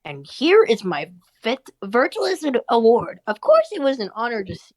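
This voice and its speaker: A woman's voice: a little high, huge pitch swings, normal speed, a little mellow. A woman playing a proud, pompous scientist in a cartoon table read, formal and self-satisfied. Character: proud, pompous scientist giving an award speech.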